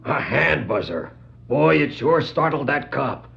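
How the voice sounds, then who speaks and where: An actor playing an admiring, slow-witted gangster henchman with a gravelly voice in a 1960s children's record drama. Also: medium pitch, lively intonation. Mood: impressed. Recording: muffled 1960s vinyl record.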